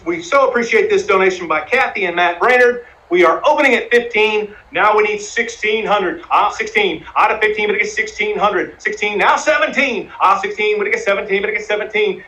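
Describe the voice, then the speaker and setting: A man running a charity auction, rattling off bids in a rolling chant and urging bidders to click once more. His voice sits high and rings bright and tense. He keeps the numbers coming without a break. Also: rough recording. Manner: fast rhythmic number calling, coaxing bidders. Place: live streamed fundraising event.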